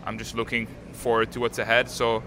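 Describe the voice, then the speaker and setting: An eighteen-year-old man from Canada, a native French speaker, answering interview questions outdoors in a calm, composed way with many fillers. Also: mid-range voice, fairly quick. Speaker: tennis player, interviewee. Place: outdoors at a tennis stadium. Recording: crowd noise behind.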